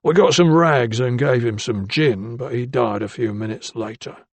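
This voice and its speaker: A man's voice: mid-range voice, moderate pace, gravelly, no room sound. A man voicing a timid, grasping ironmonger with a thin, bleating voice and a wheedling, deferential manner. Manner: wheedling and deferential, keeps saying sir. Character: timid, grasping male shopkeeper, working class.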